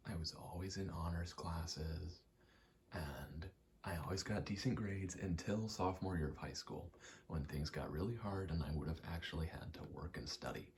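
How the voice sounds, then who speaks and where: A young man giving a soft-spoken, halting, reflective ASMR ramble, telling personal stories in a hushed voice close to the mic. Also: deep voice, a little hiss or hum. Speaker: ASMR creator.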